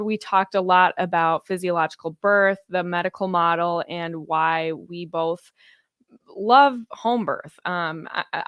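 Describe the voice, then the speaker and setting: A woman's voice: fairly low pitch, a bit bright, smooth, no echo, clean studio sound. A woman hosting a podcast in a conversational interview style. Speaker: podcast host.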